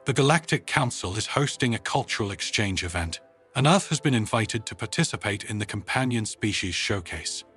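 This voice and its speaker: A man's voice: lowish voice, expressive, fairly quick, a bit bright, breathy edge, soft background music. A man voicing an amused, formal, teasing insect-like alien admiral in a sci-fi audio story. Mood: brisk, pleased.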